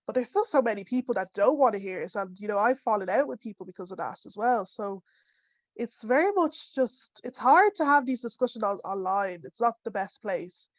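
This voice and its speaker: A woman's voice: mid-range voice, lively intonation, fairly quick, no echo. A young woman speaks as a panelist in an online discussion, chatty and direct. Her voice sounds dry and slightly muffled. She sounds like she is on a video call from home. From Ireland. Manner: conversational, with many "you know" fillers. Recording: dull, dry home audio.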